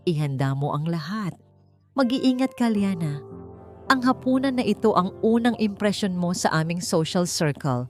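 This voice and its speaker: A cold, haughty society matriarch, played by a woman narrator in a romance audio story. She speaks in a fairly low register with mocking condescension, slipping between Tagalog and English. Soft music runs underneath. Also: expressive, normal speed, close to an everyday voice. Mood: cold, taunting. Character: haughty, mocking rich matriarch, cold mother-in-law villain.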